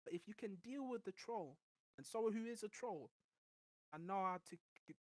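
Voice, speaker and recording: A man with a voice that sounds high on recordings, a podcast guest telling rambling, animated stories in casual chat. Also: normal speed, no room sound. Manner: rambling, animated storytelling with restarts.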